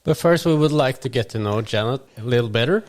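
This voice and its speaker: A podcast co-host asking a guest short quick-fire questions. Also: medium pitch, lively intonation, a little mellow.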